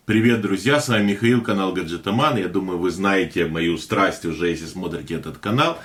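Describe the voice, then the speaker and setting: Low-voiced male tech host, slightly hoarse, casual and hesitant, faint music under him. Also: fairly quick, fairly bright tone. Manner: chatty, hesitant with many fillers. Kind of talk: casual unboxing narration.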